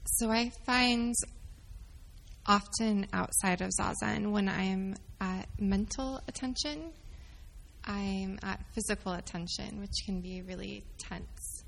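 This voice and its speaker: A woman's voice: medium pitch, slow, vocal fry, fairly bright tone, poor audio. A woman in the audience asking an earnest question after a talk. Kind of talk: question from the floor.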